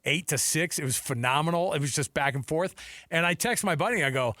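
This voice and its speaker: American sports host in a dry studio. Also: male voice, a little high, a little fast, bright, forceful tone, no echo. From Seattle, US. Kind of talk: casual sports talk. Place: radio studio. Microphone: close studio microphone.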